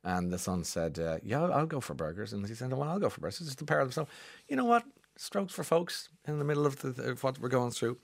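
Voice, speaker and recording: A man's voice: mid-range voice, sing-song, fast talker, creaky, no echo. A man hosting a morning radio show, reading listener texts and chatting with a caller. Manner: chatty, warm banter with callers. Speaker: radio host.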